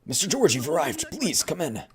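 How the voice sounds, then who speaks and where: A man plays an eager male underling from a video game story. His voice is raised high and pushed loud. He is hurried and obsequious, falling over himself to please a superior. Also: very animated, a crowd in the background. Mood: eager, fawning.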